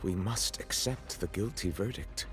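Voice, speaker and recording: A man playing a stern, composed chief judge in a video game, formal, grave and measured. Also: low voice, faint music behind.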